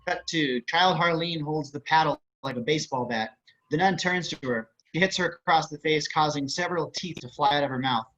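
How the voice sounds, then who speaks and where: A man's voice: fairly high pitch, a little fast, fairly bright tone. A man reading stage and screen directions aloud as scripted narration. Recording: muffled online call audio.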